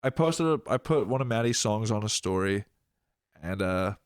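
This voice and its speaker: A man's voice: on the low side, animated, normal speed, no echo, clean studio sound. Rambling young man with a stuffy nose. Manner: rambling, heavy use of "like", swearing. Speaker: podcast guest, rapper. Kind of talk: casual chat.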